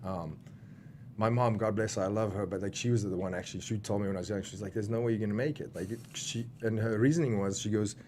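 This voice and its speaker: A man's voice: on the low side, quick pace, darkish tone, a little hiss or hum. A man in his thirties with a South African accent, chatting casually as an interview guest, rambling with many fillers and restarts. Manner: rambling, lots of fillers and restarts, laughs often. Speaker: guest wrestler.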